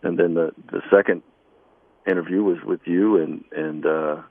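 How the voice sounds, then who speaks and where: Low-voiced man in a dry radio interview. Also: fairly even intonation, moderate pace, creaky, no room sound, narrow, tinny audio. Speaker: basketball coach being interviewed.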